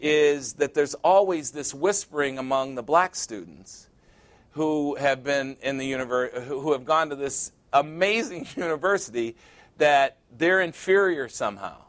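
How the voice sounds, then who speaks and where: A man in his sixties preaching a sermon, emphatic and repetitive, building to loud peaks. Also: fairly high pitch, unhurried. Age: 60s.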